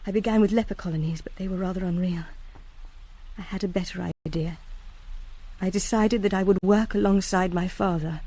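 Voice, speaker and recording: Actress doing young student in a radio play, soft mellow voice, earnest n guilty, halting, well spoken. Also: female voice, medium pitch, steady tone, dry recording, lightly acted. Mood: earnest pleading. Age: young adult. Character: earnest, guilt-ridden young woman student pleading for reprieve. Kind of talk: radio drama acting.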